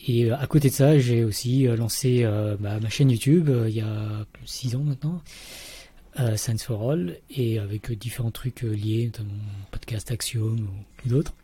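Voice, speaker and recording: A man's voice: medium pitch, normal speed, dark tone, slightly rough. A man in his thirties, a podcast guest and researcher, explaining at length with many fillers and restarts. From Vietnam, raised in the Paris region. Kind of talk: casual interview talk.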